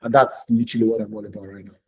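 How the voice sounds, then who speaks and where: A man's voice: fairly low pitch, flat delivery, racing, slight background noise. A man, earnestly telling his story as a podcast guest, repeating phrases for emphasis. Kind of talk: casual podcast interview.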